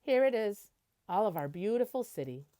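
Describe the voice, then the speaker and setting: Lively woman reading a picture book aloud. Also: medium pitch, moderate pace. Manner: animated storytelling, explaining and translating as she goes. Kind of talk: expressive picture-book read-aloud with explanatory asides.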